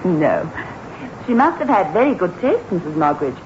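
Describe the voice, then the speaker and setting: An actress playing an affectionate, easily spooked wife, expressive and apprehensive, in a 1960s radio drama. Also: female voice, on the low side, normal speed. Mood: fond, relieved. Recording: hissy, muffled 1960s radio recording.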